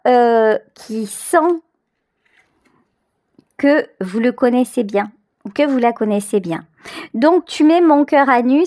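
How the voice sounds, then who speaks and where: High-voiced woman giving an improvised tarot reading. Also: darkish tone, no echo, clean studio sound. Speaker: tarot reader, channel host. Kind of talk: intuitive tarot reading, improvised commentary addressed to the viewer.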